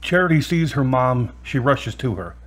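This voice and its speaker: A male narrator reading scripted scene directions for an audio drama. His voice sits in the middle of the range and has a husky, rough-edged tone. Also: mid-range voice, expressive, normal speed.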